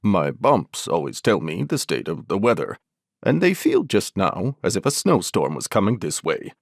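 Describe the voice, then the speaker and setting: A woman's voice: a bass voice, very animated, moderate pace, vocal fry, no echo, clean studio sound, voice pushed down, heavily acted. A woman voicing a quirky, self-important, hospitable mountain hermit in a storybook, with a solemn, declamatory manner. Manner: solemn, pompous, declamatory, sing-song in verse.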